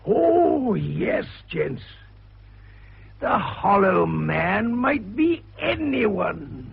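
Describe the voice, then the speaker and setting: An actor playing a shrewd, avuncular older detective in a radio drama, husky and gravelly, genially patronising as he questions and interrupts. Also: high-pitched, very animated, very slow, exaggerated voice. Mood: ominous, deliberate. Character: shrewd, avuncular old male amateur detective. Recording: tinny, band-limited radio broadcast.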